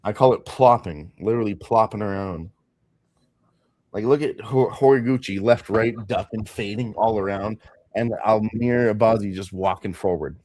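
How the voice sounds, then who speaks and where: A young man hosting a live fight watch party, talking loudly and swearing freely as he comments on the action. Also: lowish voice, moderate pace, no echo. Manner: loud, profane, excitable storyteller.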